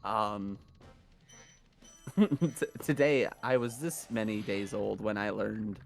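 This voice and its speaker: Hoarse man, chatty and laughing. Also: on the low side, slow pace, soft background music. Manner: chatty, self-deprecating, laughs often. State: hoarse from yelling. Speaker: speedrun marathon commentator. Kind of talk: casual live commentary.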